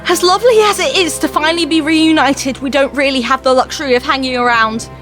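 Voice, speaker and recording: A plucky, warm-hearted adventuring companion acted by a woman in an audio drama. The voice is high, earnest and expressive, over music and the sound of rain. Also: quick pace. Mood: relieved, urgent.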